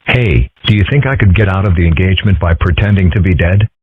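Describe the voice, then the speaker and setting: A man reading several parts in a fan comic dub with a dry, deadpan delivery. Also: low-pitched, fast talker, a little hiss or hum. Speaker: voice actor doing several characters. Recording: dry phone-like audio.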